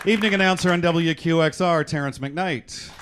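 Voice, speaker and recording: A man's voice: a little high, normal speed. A man speaking as host and director, introducing a radio drama company live on stage. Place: in front of a live studio audience. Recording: live hall with audience noise and clicks. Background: audience crowd noise.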